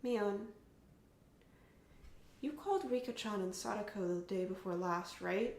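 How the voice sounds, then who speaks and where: A woman's voice: mid-range voice, measured pace, slight background noise, natural voice. A woman voicing an earnest, serious teenage boy in a story reading. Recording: roomy echo with music behind.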